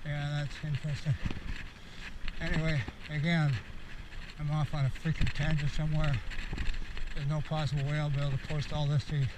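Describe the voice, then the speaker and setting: A man's voice: fairly high pitch, flat delivery, normal speed. A man of about sixty giving a candid, rambling, reflective monologue as a vlogger, recorded outdoors on a bike ride with an action camera. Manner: candid, meandering, self-reflective. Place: outdoors, cycling on a rural road. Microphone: action camera on the bike or rider. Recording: outdoor wind and road noise.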